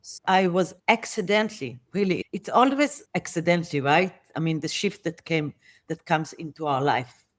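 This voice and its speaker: A middle-aged woman whose first language is Hebrew, a therapist guest telling a personal story in English, often pausing and restarting as she searches for words. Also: lowish voice, animated. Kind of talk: interview answers, personal story.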